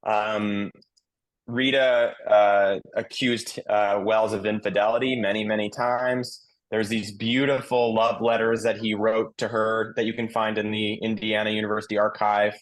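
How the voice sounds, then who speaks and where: A man's voice: lowish voice, little pitch movement, moderate pace, rough recording. A man on a podcast panel giving conversational, explanatory commentary.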